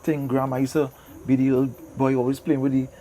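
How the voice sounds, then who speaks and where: A man's voice: mid-range voice, flat delivery, fast, mellow, a little hiss or hum. A middle-aged man with a Trinidadian accent reminiscing casually as an interview guest, in loose, informal phrasing full of affirmations. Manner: informal, peppered with "yeah man" and "you know".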